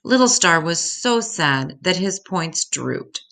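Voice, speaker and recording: A woman reading a children's story aloud as an expressive storyteller. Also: lowish voice, takes it slowly, gravelly.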